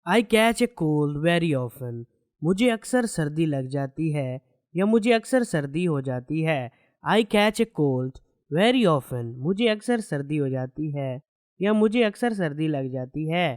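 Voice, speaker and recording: A man teaching English, reading each short sentence slowly twice with its translation. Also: fairly high pitch, expressive, a little mellow, dry recording, studio quality. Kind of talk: slow sentence drills with translations.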